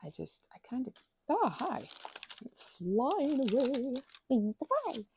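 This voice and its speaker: A woman making soft-spoken ASMR at home, rambling as she crafts, full of fillers and dipping into whispers now and then. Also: sits high, big pitch swings, slow pace, a little hiss or hum. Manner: meandering, filler-heavy, drifting into whispers. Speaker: ASMR creator. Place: indoors at home.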